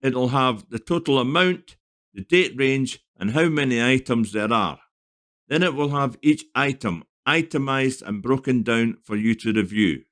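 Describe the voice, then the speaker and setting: A man narrating a scripted software tutorial in an explanatory, step-by-step manner. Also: mid-range voice, a little slow.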